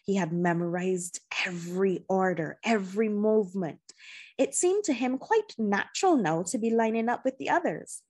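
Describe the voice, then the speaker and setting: A woman reading an adventure novel aloud as a storyteller, with expressive, dramatic and deliberate phrasing. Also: medium pitch, husky. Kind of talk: expressive scripted narration of a children's adventure novel.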